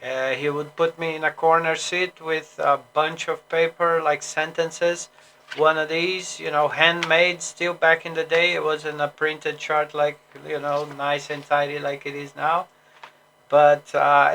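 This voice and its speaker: A man's voice: on the high side, fairly even intonation, unhurried, bright, forceful tone, a little hiss or hum. A man from Brazil with a Brazilian Portuguese accent, an English teacher rambling casually on a solo stream with many fillers and restarts.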